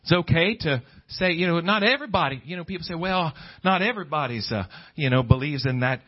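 Male preacher, notably high-pitched, emphatic Pentecostal sermon delivery, repetitive, calls for agreement, dull church recording. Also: expressive, quick pace. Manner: emphatic, repeats phrases, prompts listeners for agreement. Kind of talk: Pentecostal-style sermon to a congregation.

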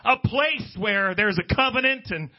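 Male preacher, notably high-pitched, emphatic Pentecostal sermon delivery, repetitive, calls for agreement, dull church recording. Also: lively intonation, a little slow. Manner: emphatic, repeats phrases, prompts listeners for agreement. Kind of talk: Pentecostal-style sermon to a congregation.

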